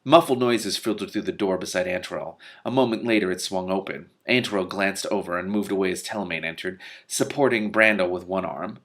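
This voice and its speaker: A man reading a novel aloud in an expressive way, breaking into exclamatory, spontaneous reactions of his own. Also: low voice. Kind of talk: animated audiobook-style reading with spontaneous commentary.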